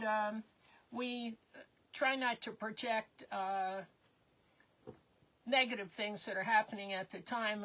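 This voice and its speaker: An older woman co-hosting a live crafting stream, chatting in a rambling, casual way with many pauses as her voice wears thin and hoarse. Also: fairly high pitch, a bit bright, poor audio. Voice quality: slightly hoarse. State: losing her voice.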